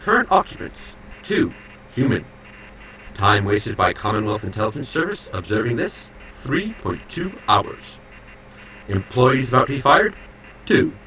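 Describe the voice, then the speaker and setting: A man's voice: medium pitch, sing-song, a little slow, bright, forceful tone, a little hiss or hum, low-quality recording. A man playing a terse, dryly scornful ship's computer reading a log in a sci-fi audio drama.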